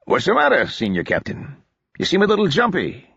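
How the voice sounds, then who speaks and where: A gruff, coarse army captain with a heavy mountain accent, as a male audiobook narrator puts him on. He needles and jabs, his voice pitched high and bouncing around. The audio is dry and a bit dull. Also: wildly swooping pitch, brisk, fairly bright tone, no echo, exaggerated voice. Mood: gruff mocking. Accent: heavy mountain accent (fictional).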